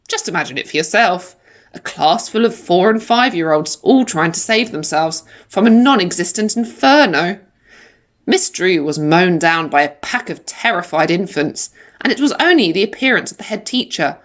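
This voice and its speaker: A woman reading a children's story in the first person, with animated, dramatic emphasis like a primary school teacher. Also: fairly low pitch. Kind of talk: expressive children's audiobook narration in first person.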